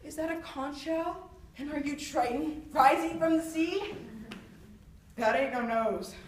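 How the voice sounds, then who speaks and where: A woman's voice: high-pitched, unhurried, a little hiss or hum. A young woman playing a proud, witty, mocking swordsman poet in a theatrical monologue, haughty and taunting as she declaims. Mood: mocking, playful. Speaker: student actor. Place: echoey room, far from the microphone. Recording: roomy echo, distant microphone.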